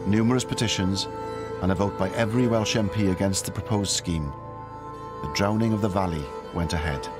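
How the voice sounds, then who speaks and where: Male documentary narrator, very low voice, measured scripted read over a music bed. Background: music score.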